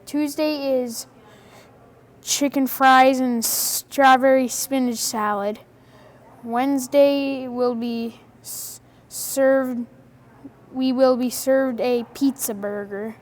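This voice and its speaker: A woman's voice: sits high, steady tone, takes it slowly, long pauses. A woman reading out a school lunch menu carefully, as a news segment. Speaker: news reader.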